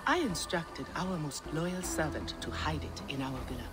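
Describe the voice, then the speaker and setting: A woman playing a composed, defiant mother and official in a video game, measured and reassuring. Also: sits low, lively intonation. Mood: calm defiance. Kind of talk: dramatic video game acting. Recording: music under the voice.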